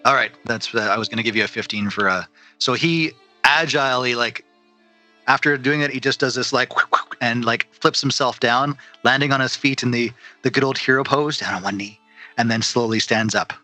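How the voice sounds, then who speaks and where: A man's voice: mid-range voice, moderate pace, pushed and bright, no room sound, faint music behind. A man running a tabletop game as dungeon master, narrating scenes and joking with the players.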